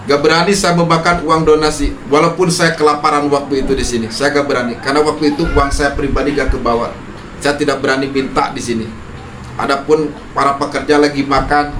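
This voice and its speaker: A man's voice: mid-range voice, distant mic. A man from Indonesia giving an earnest speech as host of a village ceremony, growing emphatic at times. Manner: earnest, emphatic when defending himself. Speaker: host handing over a prayer house. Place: outdoors in a village. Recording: echoey, from afar, with noise.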